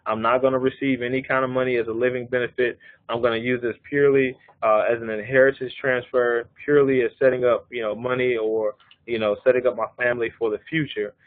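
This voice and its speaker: Thirties male presenter, mid-pitched, patient step-by-step explainer, conference-call phone sound. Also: little pitch movement, quick pace, no echo. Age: 30s. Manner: explains step by step, often checking in with "okay". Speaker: life insurance presenter. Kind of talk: live conference-call walkthrough. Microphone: phone or call line. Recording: narrow phone-line audio.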